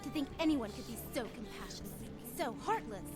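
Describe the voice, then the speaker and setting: A woman's voice: fairly high pitch, animated, brisk, a little mellow, soft background music, natural voice. An actress playing a distraught, reproachful young woman in a video game, with anguished, melodramatic delivery. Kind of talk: dramatic video game acting.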